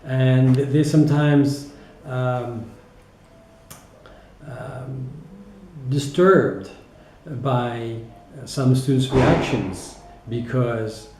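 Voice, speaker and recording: A man's voice: medium pitch, drawn-out words, background noise. A man with a French accent giving reflective, explanatory interview answers as a teacher, speaking hesitantly and often checking in with a short tag. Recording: echoey, roomy sound.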